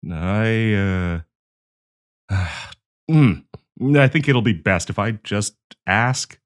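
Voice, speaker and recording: A man's voice: on the low side, expressive, extremely slow. A man playing a nervous, awkward young man shyly confessing love to a friend in an audio roleplay, stammering and hesitant. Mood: nervous, earnest. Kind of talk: intimate audio roleplay acting.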